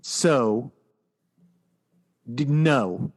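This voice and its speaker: A man's voice: mid-range voice, animated, drawn-out words. An American man co-hosting a podcast, chatting casually and with enthusiasm about pop culture. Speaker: podcast co-host.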